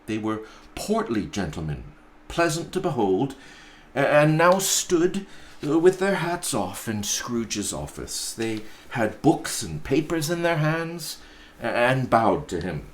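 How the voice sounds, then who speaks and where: A man, a pastor, reading a storybook aloud in an expressive narrating style. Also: mid-range voice, takes it slowly.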